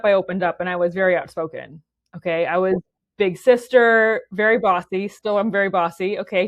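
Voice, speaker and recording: A woman's voice: a little high, dry recording. A woman in her forties from Romania, talking casually as an interview guest, restarting sentences and tagging them with "okay?". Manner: chatty, many restarts and "okay?" tags.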